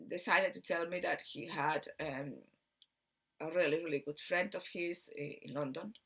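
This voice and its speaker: She is a woman with a Spanish accent and a fairly low voice full of vocal fry. She talks as a vlogger recounting personal experiences, in loose, rambling storytelling. Her delivery is halting, with words repeated and thoughts left hanging. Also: fairly bright tone. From Barcelona, Spain. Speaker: video host telling personal story.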